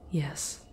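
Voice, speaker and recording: A woman voicing an ancient female demon, proud but remorseful, in a dramatic ASMR roleplay with hushed, halting delivery. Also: recorded from a distance.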